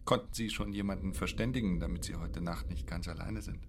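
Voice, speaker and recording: An actor playing a courteous, reserved doctor in a German radio drama, speaking formally and with restraint. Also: male voice, low-pitched, fairly quick, background noise. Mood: restrained, courteous. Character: reserved, courteous doctor consoling a bereaved young woman.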